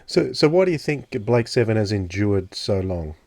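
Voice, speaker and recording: A man's voice: lowish voice, very animated, moderate pace, gravelly, a little mellow, no room sound, studio quality. A man hosting a podcast interview, putting his questions conversationally and a little hesitantly.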